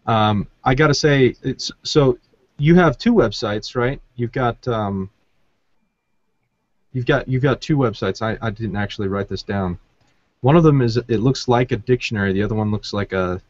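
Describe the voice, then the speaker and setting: A man's voice: on the low side, moderate pace, no room sound. A man hosting a podcast, interviewing in a casual, conversational way. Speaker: podcast host. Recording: dull, dry lo-fi audio.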